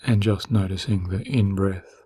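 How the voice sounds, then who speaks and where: Low, husky man guiding meditation. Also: fairly even intonation. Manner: slow, gentle, soothing, with long pauses. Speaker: meditation guide. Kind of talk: guided meditation narration.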